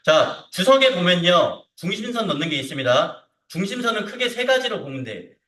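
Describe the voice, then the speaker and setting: A man teaching software step by step in an informal way, often checking in with short tag questions. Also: sits high. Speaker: CAD instructor. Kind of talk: hands-on software tutorial to students. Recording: roomy echo, distant mic.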